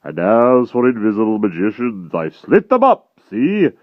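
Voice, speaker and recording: A man voicing a huge brutal male giant who makes slow, loud, sneering threats. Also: on the low side, wildly swooping pitch, no echo, phone-quality sound, pitched above the performer's own voice. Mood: gloating.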